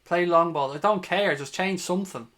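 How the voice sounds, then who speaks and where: Higher-voiced Dublin football fan, male. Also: normal speed, a bit bright. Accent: Dublin Irish. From Ireland, from Dublin. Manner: blunt, sweary, opinionated. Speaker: livestream host and fan commentator. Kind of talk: live football watch-along commentary, chatting with viewers.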